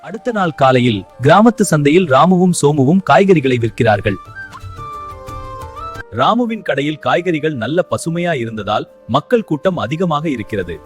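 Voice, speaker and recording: A man reading a scripted moral story as narrator. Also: on the high side.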